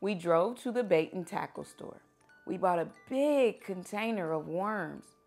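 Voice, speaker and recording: A woman reading children's books aloud like a teacher at story time, with expressive, animated phrasing for young listeners. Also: lowish voice, a little slow. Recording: music behind the voice.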